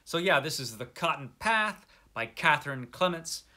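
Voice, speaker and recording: Male book vlogger, somewhat higher-pitched and bright, conversational, wry, self-deprecating. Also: big pitch swings, moderate pace. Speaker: host of a book review video channel. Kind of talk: casual chat to camera about books.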